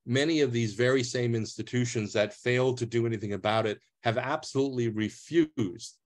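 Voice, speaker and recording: A man's voice: mid-range voice, normal speed. A man, giving an earnest, plain-spoken talk over a video call as a retired public defender. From US East Coast.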